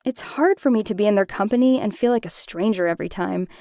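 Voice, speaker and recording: A woman's voice: medium pitch, fast talker, warm tone, dry recording, studio quality, lightly acted. A woman voicing an insecure teenage schoolgirl, confiding wistfully in a first-person cartoon story narration. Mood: dejected. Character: insecure teenage schoolgirl confiding about dating a rich popular boy.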